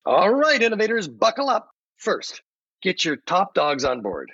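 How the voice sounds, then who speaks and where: A man's voice: high voice, huge pitch swings, a little slow, fairly bright tone, slightly rough, no echo, highs cut off, pitched above the performer's own voice, full character voice. A man voicing an upbeat AI chatbot guest, giving punchy, slogan-like scripted answers on a podcast. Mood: peppy, rousing. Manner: peppy, punchy, slogan-like delivery.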